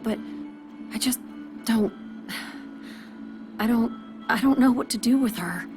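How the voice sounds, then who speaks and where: A woman's voice: fairly high pitch, close to an everyday voice. A woman playing a blunt, sardonic, worry-worn working mother in a video game, folksy and plain-spoken. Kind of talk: video game character dialogue.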